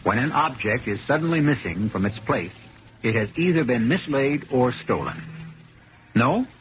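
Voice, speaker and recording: A man acting as the urbane, philosophical host of a radio mystery, narrating in a deliberate, sardonic manner. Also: medium pitch, animated, slight background noise, natural voice. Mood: knowing, teasing. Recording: dull 1970s radio broadcast recording. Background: music.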